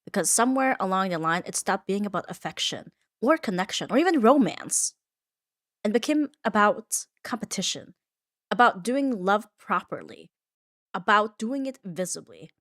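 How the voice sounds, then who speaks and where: A woman's voice: medium pitch, animated, normal speed, fairly bright tone, no room sound, studio quality. A woman delivering a scripted comfort ASMR monologue in an earnest, reflective manner, leaning on short emphatic phrases. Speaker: ASMR audio performer.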